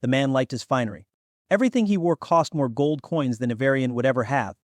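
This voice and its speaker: A man narrates a fantasy audiobook from a script. His voice sits at a medium pitch, and the recording is close and dry. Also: animated, brisk, no echo.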